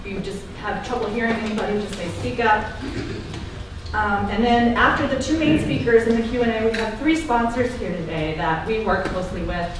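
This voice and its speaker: A woman's voice: medium pitch, brisk. A woman giving a chatty welcome and introduction to a live audience in a large hall, with plenty of filler words, heard from a distant camera. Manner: warm, chatty, frequent um fillers. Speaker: event organizer from the dispensary. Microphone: far camera, no microphone. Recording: very echoey hall, voice from far off, clear background noise.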